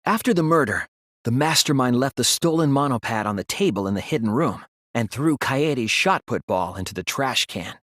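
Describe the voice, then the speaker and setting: Earnest, expressive teen boy detective. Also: mid-range voice, dry recording, studio quality. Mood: grave, deductive. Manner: earnest, measured explaining that turns to strained pleading. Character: earnest teenage boy detective, sensitive and anxious. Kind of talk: dramatic English video game dub acting.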